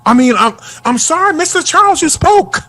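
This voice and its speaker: A man's voice: very high voice, animated, brisk, bright, forceful tone, heavily acted. A man acting in an audio drama as a young, sassy but self-doubting aspiring jazz singer. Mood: sheepish.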